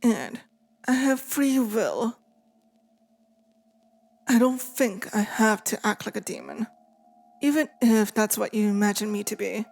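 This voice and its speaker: A woman's voice: medium pitch, expressive, gravelly. A woman playing a lonely, clingy demon in an intimate ASMR roleplay, speaking tenderly and hesitantly. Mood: tired, musing. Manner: slow, hesitant, tender, softly pleading. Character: lonely, clingy female sleep paralysis demon, wistful and possessive.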